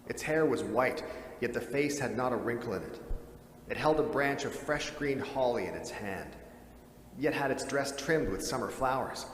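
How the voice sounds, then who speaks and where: A man giving a measured, expressive dramatic reading of a classic story as narrator. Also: medium pitch. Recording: roomy reverberant hall with faint noise.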